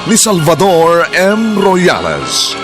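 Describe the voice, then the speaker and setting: A man's voice: high-pitched, huge pitch swings, takes it slowly. A man with a Filipino accent announcing a radio drama in a dramatic, scripted style. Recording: prominent music behind voice. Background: music.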